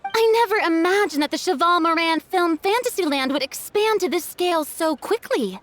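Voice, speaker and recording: Game role: gracious young diva, very high and lively, dramatic, eloquent delivery. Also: female voice, normal speed, a little hiss or hum. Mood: playful, gracious. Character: theatrical, gracious young female celebrity star. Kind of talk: video game voice acting.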